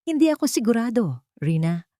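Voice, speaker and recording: A woman narrator voicing an anxious wronged wife, hesitant and soft-spoken, with a Filipino accent. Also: a little high, very animated, a little fast, no room sound, studio quality, pitched above the performer's own voice. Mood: unsure but resolved.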